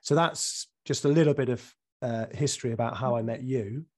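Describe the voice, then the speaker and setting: Croaky male podcast host, halting. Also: medium pitch, darkish tone. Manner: hesitant, many ums and restarts. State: croaky from a cold. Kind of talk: casual interview.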